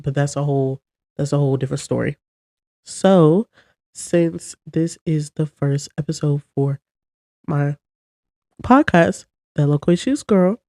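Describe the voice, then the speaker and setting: A woman's voice: deep voice, dark tone, no room sound. A young woman hosting her own podcast in a casual, chatty, rambling way, sounding congested from a cold. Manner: chatty and rambling, talking to camera. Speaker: podcast host. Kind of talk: casual solo chat.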